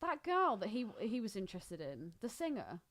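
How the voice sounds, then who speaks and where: A woman's voice: medium pitch, big pitch swings, moderate pace, fairly bright tone. A woman co-hosting a podcast in a casual chat, gossipy, blunt and emphatic, swearing freely and repeating herself for stress. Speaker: podcast co-host.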